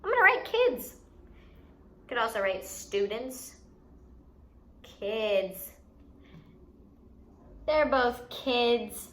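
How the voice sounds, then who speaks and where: A woman's voice: fairly high pitch, sing-song, very slow, long pauses, a bit bright. A woman hosting a lesson for young children, explaining things simply and expressively and putting questions to the listener. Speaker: children's teacher and show host.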